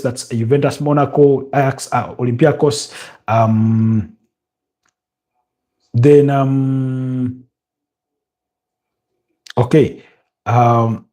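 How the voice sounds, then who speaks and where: A man's voice: mid-range voice, dark tone. A man with a Ugandan accent hosting a live football watch-along, chatting casually and reading viewer comments with lots of filler sounds. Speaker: livestream host.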